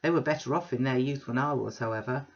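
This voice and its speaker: Blustering self-made man, bragging and blunt, put on by a woman reader, gravelly edge, muffled audio. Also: rumbling low, fast. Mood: boastful. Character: blustering boastful self-made businessman, male, middle-aged. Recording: dull lo-fi recording.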